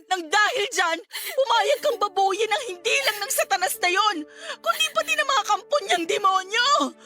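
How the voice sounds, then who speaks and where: Outraged protective cousin, young, Filipino accent, forceful bright high voice, scolding radio drama delivery with music underneath. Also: female voice, animated, normal speed, full character voice. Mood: furious. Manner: scolding, emphatic, emotional. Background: background music.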